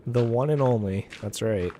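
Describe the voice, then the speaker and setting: A young man streaming a game, his voice a little raspy today, rambling casually with lots of filler words. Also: mid-range voice, moderate pace, mellow, slight background noise. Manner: rambling, heavy use of "like" fillers. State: slightly raspy voice. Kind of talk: casual chat over gameplay.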